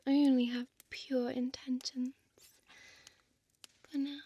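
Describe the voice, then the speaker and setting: Woman acting a slow, whispering seductive vampire. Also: sits high, level tone, dull audio, exaggerated voice. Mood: teasing, seductive. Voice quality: breathy, soft whisper. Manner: slow, hypnotic, coaxing, deliberate pauses. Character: seductive female vampire innkeeper, possessive and commanding. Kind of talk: whispered ASMR audio roleplay.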